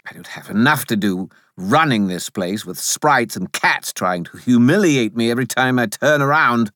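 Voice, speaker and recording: A man's voice: medium pitch, animated, moderate pace, fairly bright tone, no room sound, clean studio sound, pitched above the performer's own voice, close to an everyday voice. A man voicing a smug, put-upon castle spirit who talks in a casual, dismissive, superior way for a storybook. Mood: exasperated.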